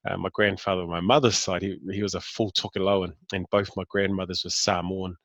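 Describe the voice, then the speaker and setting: A man's voice: deep voice, little pitch movement, fairly quick, fairly bright tone, no room sound, clean studio sound. A man in his fifties with a New Zealand accent, talking about himself in a casual interview, hesitant with many fillers and restarts. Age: 50s. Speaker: interview guest.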